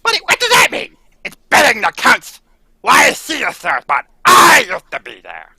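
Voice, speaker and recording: A man's voice: very high voice, wildly swooping pitch, moderate pace, creaky, slightly rough, dry recording, full character voice. A man playing a spiteful has-been cat trainer. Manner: shouting and spiteful. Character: jealous fading female circus cat trainer. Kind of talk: 1940s radio acting.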